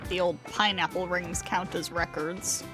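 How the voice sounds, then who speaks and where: A woman's voice: on the low side, fairly even intonation, a little slow, music in the background. A woman game streamer giving casual, playful livestream commentary. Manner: playful, reacting.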